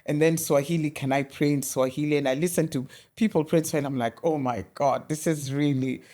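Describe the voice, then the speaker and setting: A middle-aged woman from Kenya, a guest in a conversational interview, telling animated stories with many restarts. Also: low-pitched, a little fast.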